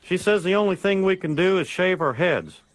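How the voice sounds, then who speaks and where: An exasperated suburban cartoon man. His voice is a bit high for a man and moves around a lot, with a grumbling, plain-spoken manner. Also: lively intonation, moderate pace, vocal fry, no room sound, poor audio. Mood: resigned. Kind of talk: animated sitcom acting.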